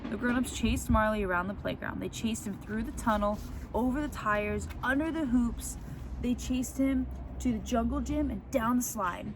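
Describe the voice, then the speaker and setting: A woman reading a children's picture book aloud as a teacher would, expressively acting out the story. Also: moderate pace. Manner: expressive, acts out the characters' lines. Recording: clear background noise, ambient rumble.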